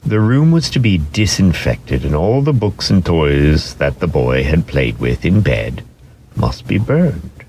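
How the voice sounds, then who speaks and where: Male narrator, very low pitch, lively swooping intonation, theatrical storybook reading, faint noise. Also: a little slow. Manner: expressive, theatrical.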